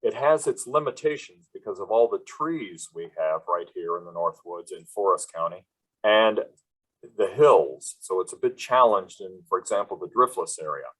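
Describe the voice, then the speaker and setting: A man's voice: low-pitched, expressive, moderate pace, a bit bright, slightly rough, low-quality recording. A man from Wisconsin giving a presentation on a video call, rambling with many fillers and restarts. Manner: rambling, with frequent fillers and self-corrections. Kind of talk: webinar presentation.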